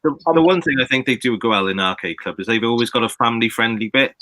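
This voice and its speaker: A man of forty, talking briskly and matter-of-factly in a casual video-call chat. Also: medium pitch, animated, bright, forceful tone, dry recording, poor audio. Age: 40s.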